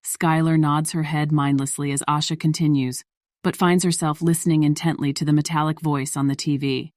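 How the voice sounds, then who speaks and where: Woman narrating an audio story, pretty low voice, scripted read, very dry recording. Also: flat delivery, a little fast, darkish tone, no echo.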